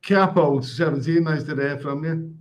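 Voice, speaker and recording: A man's voice: on the high side, normal speed. Crude, rambling man. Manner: crude, rambling, stumbles and repeats himself. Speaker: live stream host. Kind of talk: casual livestream chat, reading comments.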